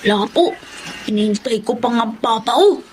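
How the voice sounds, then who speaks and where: A woman's voice: a little high, animated, normal speed, a little mellow, a little hiss or hum, lightly acted. A woman voicing a cheerful, polite young schoolboy with an eager, childlike manner in a Filipino radio drama. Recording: music under the dialogue.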